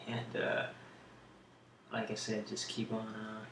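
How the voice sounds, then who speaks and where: Guy with a creaky monotone rambling thru asmr q&a, hesitant, echoey amateur home recording w hiss. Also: lowish voice, takes it slowly. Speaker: video host. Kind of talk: casual ASMR question-and-answer.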